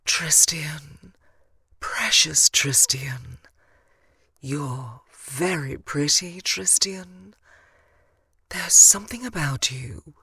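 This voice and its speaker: A woman acting a sultry, unhurried elf vampire. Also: deep voice, bright, forceful tone, slightly rough, no echo, studio quality, exaggerated voice. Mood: tender, enchanted. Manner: cooing, sultry, purring endearments. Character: seductive dusk elf vampire woman, doting and protective. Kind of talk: audio drama character acting.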